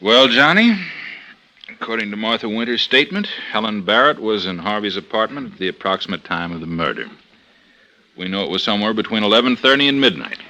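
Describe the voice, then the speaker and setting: An actor playing a brisk, matter-of-fact male homicide lieutenant in a 1950s radio drama. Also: fairly low pitch, expressive, pushed and bright, poor audio. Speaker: police lieutenant.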